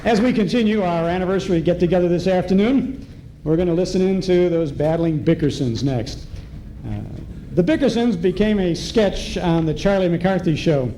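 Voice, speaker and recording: A man's voice: sits high, normal speed. A man hosting a live radio event, introducing acts and commenting on old-time shows as master of ceremonies. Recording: live ballroom event, faint noise.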